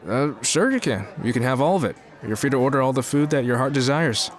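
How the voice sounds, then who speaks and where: A man playing a boastful, self-important showbiz mogul in an audio drama, smooth-talking as he courts. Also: medium pitch, expressive, brisk, natural voice. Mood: bemused, courteous.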